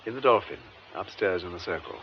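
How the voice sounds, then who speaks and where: An actor playing a composed, shrewd British gentleman detective in a radio drama. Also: deep voice, animated, fairly quick, dull audio, a little hiss or hum. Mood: gentle, probing.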